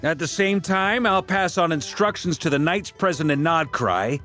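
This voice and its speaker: A wry, easygoing knight captain. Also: male voice, fairly high pitch, moderate pace, soft background music. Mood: upbeat. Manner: wry, relaxed, encouraging. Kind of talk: video game dialogue.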